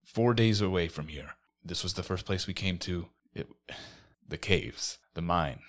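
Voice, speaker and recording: A man playing a tabletop role-playing game, improvising casually in a halting, plain-spoken way. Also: low-pitched.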